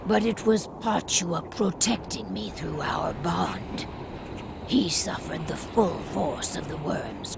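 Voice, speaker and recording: Slow gravelly solemn pack leader. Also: female voice, fairly low pitch, noisy background, heavily acted. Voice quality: breathy, whispery, gravelly. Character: grave remorseful female werewolf pack leader, weary but proud. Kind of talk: video game cutscene acting. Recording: music under the voice.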